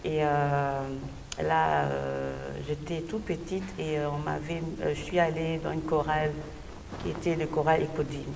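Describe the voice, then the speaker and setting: Heard from off in an echoey hall, a woman from Belgium gives a personal testimony as an interview guest. Her voice is low and gravelly. She searches for her words as she goes, over clear background noise. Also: level tone, moderate pace. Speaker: gospel singer, interview guest. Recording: distant mic, echoey hall, noisy background.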